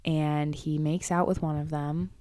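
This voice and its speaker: A young woman in her twenties chatting on a podcast, lively and profane, slipping into little voices when she retells what someone said. Her voice sits a touch low for a woman, smooth and clear, and the sound is close and dry with no room around it. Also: a little slow, no echo. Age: 20s. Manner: animated, swears freely, laughs often, acts out quotes. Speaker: podcast co-host. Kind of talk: casual chat.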